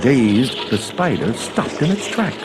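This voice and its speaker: A man's voice: mid-range voice, very animated, slow pace, over a noisy crowd. A man reading a scripted children's adventure story as a dramatic narrator, over music.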